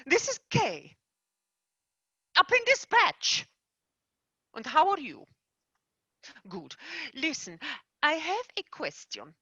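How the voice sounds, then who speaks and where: A woman's voice: high voice, huge pitch swings, slow, pauses often, pushed and bright, dry recording, heavily acted. A woman playing a prim, formal female tooth fairy boss who is horrified by her staff. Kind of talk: stage play performance.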